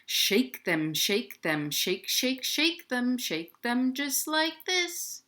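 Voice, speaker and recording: A woman's voice: a little high, big pitch swings, extremely slow, sharp tone. A woman hosting a children's storytime, reading playfully in sing-song rhymes and speaking directly to young children. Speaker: children's librarian, storytime host. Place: at home, indoors.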